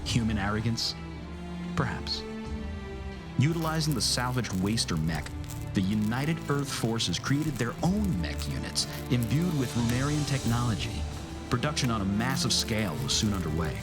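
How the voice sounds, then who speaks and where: A man playing a grave narrator of a science-fiction war story, reading dramatically with deliberate pauses. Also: mid-range voice, very animated, lightly acted. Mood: grave, dramatic. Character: grave soldier-narrator recounting an alien war. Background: clear music.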